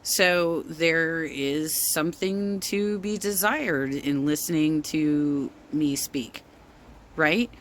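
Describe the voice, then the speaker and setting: Slow-spoken woman with a low, bassy voice. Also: a little hiss or hum. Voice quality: bass to her voice. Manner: slow-spoken, rambling with many ums. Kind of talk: casual rant.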